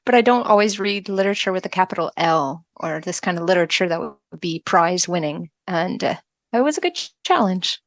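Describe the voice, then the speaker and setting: A woman's voice: lowish voice, animated, brisk, no room sound. A woman chatting casually as a podcast guest, light and self-effacing in the way she talks. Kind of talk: casual chat.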